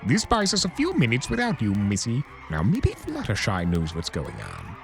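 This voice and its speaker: A woman's voice: very deep voice, wildly swooping pitch, normal speed, creaky, over music, voice pushed down, exaggerated voice. A woman voicing a sardonic, suave male trickster creature with a drawling, theatrical delivery in a fan fiction reading. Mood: wary.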